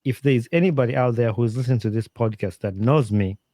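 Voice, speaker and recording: A man from Uganda, a podcast guest giving earnest, animated advice in casual interview talk, with plenty of fillers and restarts. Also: medium pitch, a little fast, dry recording, studio quality.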